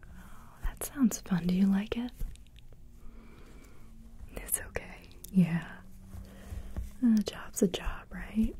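A woman whispering softly and breathily in an ASMR roleplay as a gentle, reassuring hair stylist chatting with her client, close to the microphone. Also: medium pitch, slow pace, pauses often. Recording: faint noise, small mic bumps and clicks.

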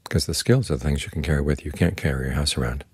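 Very deep, calm older monk. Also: male voice, breathy edge. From United States. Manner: slow, calm and plainspoken. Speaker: Buddhist monk teacher. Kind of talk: guided meditation talk.

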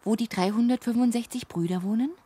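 An actress playing an earnest, polite young fairy-tale wanderer, speaking simply and gently in a radio play. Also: female voice, on the high side, fast talker, breathy edge, no echo. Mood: eager, earnest.

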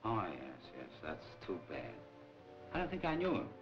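Smooth, menacing 1940s nightclub boss. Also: male voice, low-pitched, huge pitch swings, normal speed. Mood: guarded, evasive. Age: middle-aged. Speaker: actor in a crime film. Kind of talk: 1940s film acting. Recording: dull 1940s film soundtrack, loud music behind.

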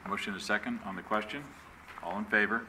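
A man chairing a city council meeting as mayor, reading agenda items and calling votes in a brisk procedural manner. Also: on the low side, steady tone, pushed and bright, a little hiss or hum, rough recording.

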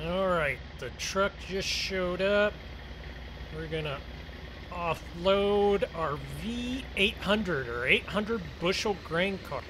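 Higher-pitched man narrating over engine noise. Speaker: gaming video host. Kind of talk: casual farming game roleplay commentary. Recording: clear vehicle engine noise behind. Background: game vehicle engine sounds.

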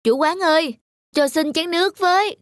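A woman voicing a weary, stubborn and foolish male traveller in an animated cartoon. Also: extremely high pitch, normal speed, slight background noise. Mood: hungry, eager. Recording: loud music under the voice.

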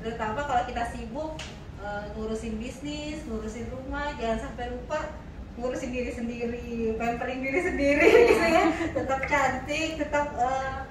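A woman's voice: high-pitched, steady tone, normal speed. A woman co-founder giving a friendly welcome speech on a handheld mic, with laughing asides. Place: clinic showroom, indoors. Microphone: handheld mic, far camera. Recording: echoey room, distant mic, noise.

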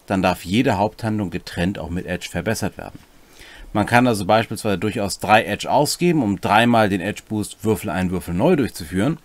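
A middle-aged man explains game rules as a host, his pitch moving around in a lively way. He mixes structured explanation with casual asides. The sound is dry and close, with little room in it. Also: no room sound. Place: small cluttered home room, indoors.

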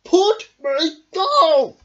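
A young adult storyteller voicing a small, plucky talking teddy bear with loud, squeaky exclamations. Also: very animated, extremely slow, exaggerated voice. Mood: alarmed. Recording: dull lo-fi camera sound.

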